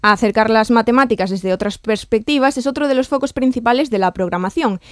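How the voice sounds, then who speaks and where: A woman reporter reads her news package at a fast clip. Her voice sits a little high and sounds dry and close, with almost no room around it. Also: no echo. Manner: brisk scripted delivery. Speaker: field reporter.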